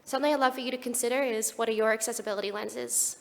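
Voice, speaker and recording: Flat-toned young Australian woman in a hall. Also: on the high side, rapid-fire, gravelly. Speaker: conference speaker. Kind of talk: prepared conference talk.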